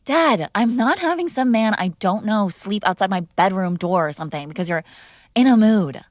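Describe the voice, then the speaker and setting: A woman's voice: medium pitch, expressive, normal speed, dry recording, studio quality. A young woman performing an audio roleplay as a defiant, sarcastic mob boss's daughter arguing with her father. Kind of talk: scripted ASMR girlfriend roleplay.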